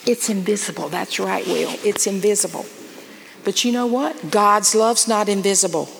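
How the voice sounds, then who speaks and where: Woman, slow coaxing children's message, gravelly, long church reverb with faint noise. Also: medium pitch. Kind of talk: children's sermon. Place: church sanctuary.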